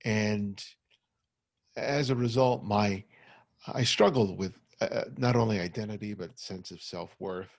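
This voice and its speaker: A man's voice: lowish voice, a little slow, vocal fry, no room sound. A middle-aged man with a slight stutter who often repeats words, giving a personal talk as a guest over a video call. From United States. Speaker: guest photographer. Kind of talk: personal talk at an online forum.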